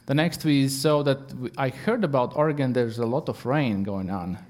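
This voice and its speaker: A man with a Latvian accent, Latvian his first language, preaching a sermon as a guest in halting English, earnest and full of fillers. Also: medium pitch, darkish tone.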